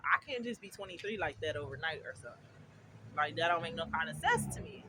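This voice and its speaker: A young woman in her twenties talks off the cuff outside at a bus stop, her pitch swooping up and down as she checks in with the listener and keeps bursting into laughter. Heavy street and vehicle noise runs under her voice. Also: animated, quick pace. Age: 20s. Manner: chatty, keeps asking "you feel me", breaks into laughter. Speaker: vlogger. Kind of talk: casual chat to camera. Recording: outdoor street traffic noise.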